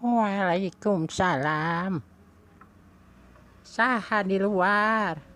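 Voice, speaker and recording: A woman with a Sundanese accent playing a hospitable old grandmother in a cartoon, with drawn-out, welcoming speech. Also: medium pitch, a bit bright. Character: hospitable old grandmother answering her door.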